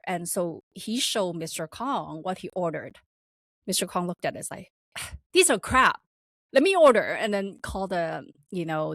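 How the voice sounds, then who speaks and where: A woman's voice: lowish voice, no echo. Storytelling woman with a slight accent. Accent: slight non-native accent. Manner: animated storytelling with laughs and acted-out quotes. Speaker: podcast co-host. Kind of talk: casual storytelling chat.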